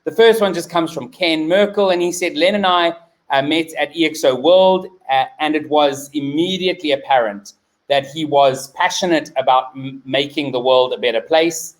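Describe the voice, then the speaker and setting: A man hosting a live online interview, speaking with halting, hesitant phrasing. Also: on the high side, low-quality recording. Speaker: host.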